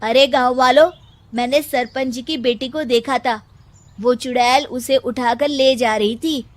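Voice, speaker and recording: A woman's voice: high voice, steady tone, measured pace, no echo. A woman voicing an anxious, earnest village man in a cartoon moral story, speaking emphatically. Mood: alarmed.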